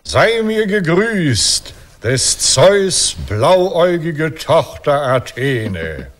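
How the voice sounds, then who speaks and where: Radio play: aging Greek hero, silver-tongued rogue, courtly, very animated delivery. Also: male voice, on the high side, extremely slow, over music, full character voice. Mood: grandly courtly. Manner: courtly flattery, hesitant self-justifying. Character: smooth-talking old Greek hero, courtly and roguish. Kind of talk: ironic radio play dialogue.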